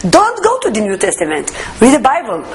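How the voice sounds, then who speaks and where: A woman's voice: a little high, wildly swooping pitch, brisk, slight background noise. Earnest woman with an Israeli accent. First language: Hebrew. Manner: earnest, searching for words in English, emphatic. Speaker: testimony speaker. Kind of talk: personal faith testimony.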